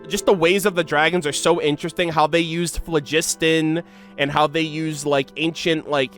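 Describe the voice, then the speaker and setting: A man's voice: fairly high pitch, a bit bright. A man doing casual reaction commentary and reading game lore aloud, as an enthusiastic fan who uses slang. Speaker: gaming reaction video creator.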